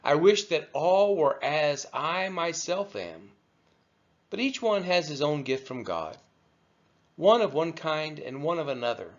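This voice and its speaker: A man reading scripture aloud from a text, with measured, emphatic phrasing. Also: fairly high pitch, expressive, lo-fi sound. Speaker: scripture reader.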